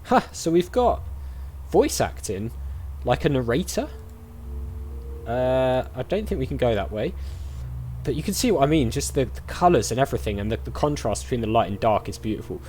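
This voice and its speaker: A man's voice: medium pitch, big pitch swings, normal speed, a little mellow, a little hiss or hum. A man hosting a gaming video, giving casual let's play commentary and reading dialogue options aloud.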